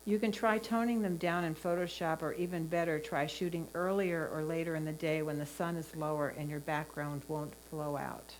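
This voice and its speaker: A woman with a notably low voice gives a prepared critique as a photo judge. She is measured, instructive and encouraging. A faint electrical hum sits behind her. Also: fairly even intonation, low-quality recording. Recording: clear mains hum.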